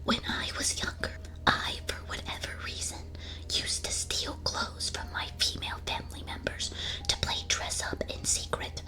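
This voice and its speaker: A woman streamer whispering playfully and with mock solemnity into a close ASMR microphone as she reads out and reacts to confessions. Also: normal speed.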